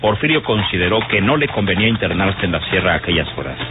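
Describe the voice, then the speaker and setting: A man's voice: deep voice, expressive, fast, background noise. A man reading scripted narration for an old radio serial. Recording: tinny old radio broadcast, loud music behind.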